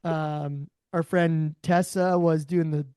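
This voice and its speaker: A man with a raspy voice, co-hosting a podcast, rambling through casual chat. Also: a little high, level tone, takes it slowly, no room sound, clean studio sound. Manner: rambling, warm storytelling. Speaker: podcast co-host.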